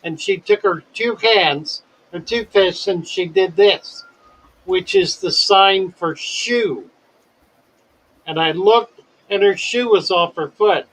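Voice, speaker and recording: An older man hosting a casual livestream chat, talking in a slow, wordy and deliberate way and telling stories. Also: sits high, fairly bright tone, rough recording. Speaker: livestream host.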